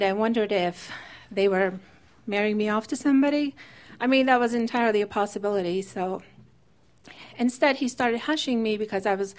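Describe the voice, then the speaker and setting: A woman from Afghanistan telling a personal story on stage in a conversational, wry way with restarts. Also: a little high.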